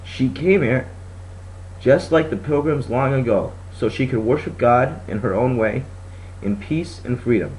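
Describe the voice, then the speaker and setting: A man voicing a kind but firm female schoolteacher in a storybook reading, speaking with deliberate emphasis. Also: medium pitch, expressive, darkish tone, a little hiss or hum, close to an everyday voice, poor audio.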